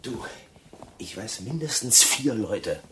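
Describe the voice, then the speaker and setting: A man's voice: fairly low pitch, animated, slow, bright, forceful tone, slightly rough, heavily acted. A man acting in a radio crime drama as a crude, jovial, uneducated prison cellmate who talks in a pushy, teasing, colloquial way. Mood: eager, scheming.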